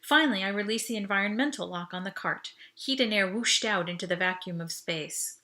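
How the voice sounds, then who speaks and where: A woman reading an audiobook aloud in expressive first-person story narration. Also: medium pitch, fairly quick.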